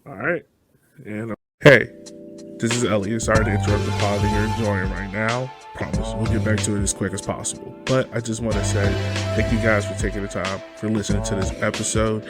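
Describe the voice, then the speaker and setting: A man's voice: fairly low pitch, fairly even intonation, fairly quick, loud music behind the voice. A man speaking casually as the host of a podcast.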